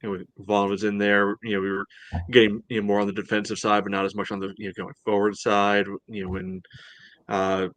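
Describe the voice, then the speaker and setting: Man, low pitch, flat intonation, close dry sound, rambling casual sports talk, frequent "you know". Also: fairly quick, no room sound. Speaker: sports podcast co-host. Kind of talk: casual soccer match discussion.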